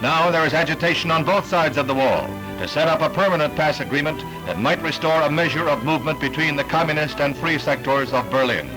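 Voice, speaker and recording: Male American newsreel announcer, 1960s style. Formal and declamatory, slightly high-set voice, music running strongly underneath. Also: a little fast, hardly any pauses, low-quality recording. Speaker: newsreel narrator. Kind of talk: scripted 1960s newsreel narration. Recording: vintage 1960s newsreel soundtrack. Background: orchestral newsreel music.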